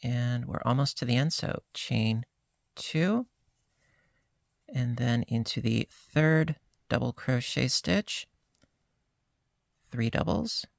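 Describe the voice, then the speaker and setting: A slightly hoarse crochet teacher walks through each stitch at a slow, unhurried pace. The voice has a gravelly fry and sits dry and close, with no room around it. The delivery stays calm and patient throughout. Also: medium pitch, dry recording. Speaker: crochet instructor. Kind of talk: step-by-step crochet tutorial.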